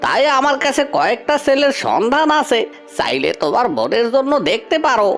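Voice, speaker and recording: A woman's voice: a little high, big pitch swings, fairly quick, soft background music. A woman voicing a pushy village matchmaker in a cartoon, wheedling and colloquial. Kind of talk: cartoon fairy-tale voice acting.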